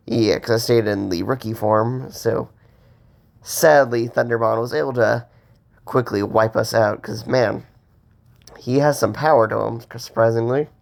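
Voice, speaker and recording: A man with a cold casually commenting on gameplay as host, rambling with fillers and pausing for breath. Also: medium pitch, vocal fry, darkish tone. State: has a cold.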